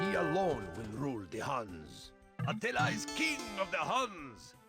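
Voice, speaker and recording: Game role: zealous Hun warrior, vehement, highish, very animated delivery. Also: male voice, creaky, exaggerated voice. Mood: triumphant. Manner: declamatory, vehement. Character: fiery, loyal Hun warrior, suspicious of traitors. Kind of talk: video game cutscene voice acting.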